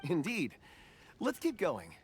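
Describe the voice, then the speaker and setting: A man voicing a gentle, polite, thoughtful and slightly hesitant young man in a video game. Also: fairly high pitch, huge pitch swings, slow, husky, soft background music, exaggerated voice. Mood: polite, reflective.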